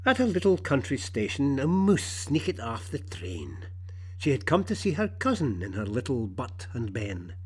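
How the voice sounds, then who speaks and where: A man with a Scottish accent reading rhyming fables in Scots as an expressive storyteller. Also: mid-range voice, measured pace, husky. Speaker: storyteller, verse reader.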